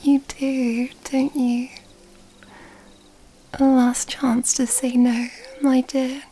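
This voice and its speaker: A woman playing a seductive, mysterious female vampire in an ASMR roleplay, teasing and intimate in a soft, breathy whisper. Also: high voice, flat delivery, full character voice. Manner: slow, intimate, teasing delivery.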